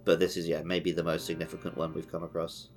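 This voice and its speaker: A man with a very low-pitched voice talks casually as a podcast co-host. His delivery is informal and conversational, with light music behind him. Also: monotone, fast. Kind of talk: casual chat, video game discussion. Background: background music.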